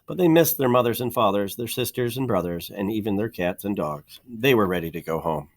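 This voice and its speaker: A man reading a children's picture book aloud in an expressive, measured storytelling manner, stressing key words. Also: lowish voice. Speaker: storybook reader.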